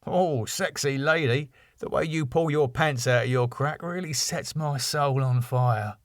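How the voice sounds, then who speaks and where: A man's voice: mid-range voice, unhurried, dry recording, studio quality, lightly acted. A man voicing a cheeky male sidekick in a sitcom script, teasing and sarcastic.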